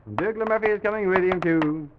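A man hosting an old-time children's radio show, speaking gently and simply to small children as he tells a make-believe story. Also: sits high, fast, very noisy. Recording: dull old radio recording with music.

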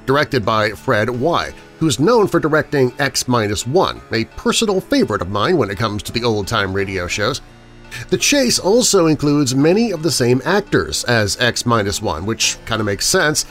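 Lively male podcast host over music. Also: mid-range voice. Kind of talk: scripted narration introducing old-time radio shows. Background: background music.

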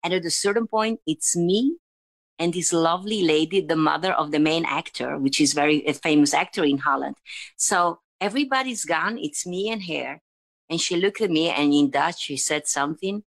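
A woman from Italy with an Italian accent, telling stories as an interview guest in a chatty, animated way with frequent restarts. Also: sits low, moderate pace. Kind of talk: casual conversational storytelling.

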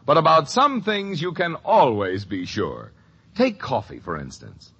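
American male commercial announcer, emphatic and persuasive, hugely varied pitch, husky tone, 1950s radio style. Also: huge pitch swings, a little slow, a bit bright. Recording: muffled old radio recording.

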